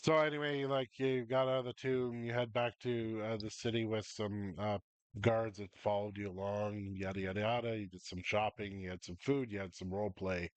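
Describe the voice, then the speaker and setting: A man's voice: on the low side, fairly even intonation, normal speed, no room sound. A man with a stuffy nose and a cough, rambling as the game master of a tabletop game, full of ums and uhs.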